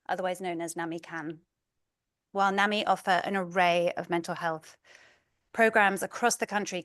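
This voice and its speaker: A middle-aged woman with an English accent gives a prepared talk as a community speaker, earnest and measured, a little nervous. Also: lowish voice, no echo, clean studio sound. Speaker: community speaker, parent advocate.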